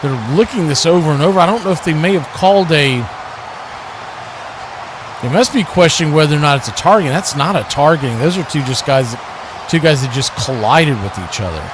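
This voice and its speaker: A man's voice: fairly high pitch, animated, a little fast, noisy background. A man giving live American football play-by-play in clipped running description that lifts on the action. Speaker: play-by-play sports commentator, watch party host.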